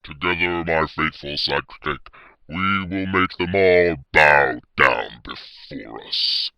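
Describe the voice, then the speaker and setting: Storybook villain baby pony, crazy deep and forceful, grand pompous slow talk. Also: male voice, creaky, clean tone, no echo, full character voice. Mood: solemn, conspiratorial. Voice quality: very deep, booming voice. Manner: pompous, melodramatic villain delivery.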